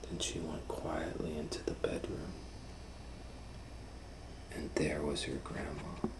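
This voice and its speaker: A man reading a children's story aloud as host, dramatically, moving between hushed and loud. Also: very deep voice, flat delivery, takes it slowly, whispered, low-quality recording. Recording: faint background noise.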